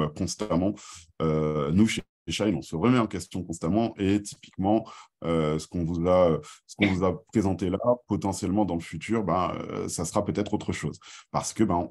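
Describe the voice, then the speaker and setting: A man with a low-pitched voice leads an informal business presentation. His delivery is halting, with many filled pauses as he works through each point. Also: a little mellow.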